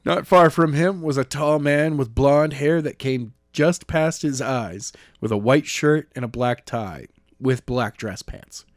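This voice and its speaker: A man narrating a novel aloud in a plain, measured reading voice. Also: medium pitch, dry recording, studio quality.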